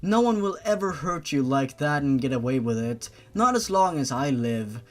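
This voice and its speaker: A man's voice: mid-range voice, lively intonation, normal speed, lightly acted. Protective older sister, read by a man, earnest and solemn, doting, story narration with music behind. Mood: solemn vow.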